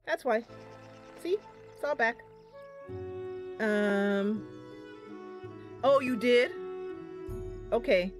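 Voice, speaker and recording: A woman doing casual live game commentary as a streamer, chatty and a bit goofy, thinking out loud as she goes. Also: a little high, very slow, long pauses, over music. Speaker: streamer and host of a gaming channel.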